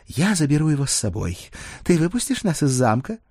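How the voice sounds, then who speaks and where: Man voicing a high-pitched, commanding half-vampire woman. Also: very animated, brisk, vocal fry, a little mellow, no echo, clean studio sound, heavily acted. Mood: commanding, teasing. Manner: teasing, authoritative. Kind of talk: audiobook character acting.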